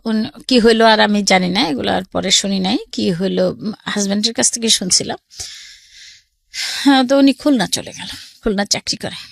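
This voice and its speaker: A woman's voice: mid-range voice, fairly quick, vocal fry, long pauses, no room sound, studio quality. A middle-aged woman with a Bangladeshi accent tells her life story casually and conversationally, often quoting family dialogue.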